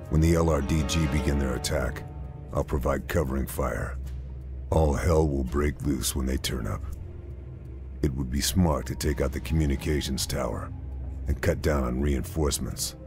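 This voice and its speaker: An actor playing a stoic wartime sniper, narrating a video game cutscene in a terse, serious, measured manner. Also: male voice, rumbling low, over music. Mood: grim, resolute.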